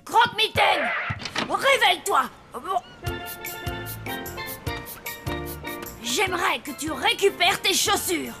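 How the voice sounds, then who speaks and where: A woman voicing a young zombie child in a French cartoon, plaintive and earnest, quick to worry. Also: very high-pitched, animated, exaggerated voice. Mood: indignant. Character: worried young zombie child, pleading and indignant. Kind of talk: French cartoon dub acting. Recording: music behind the voice.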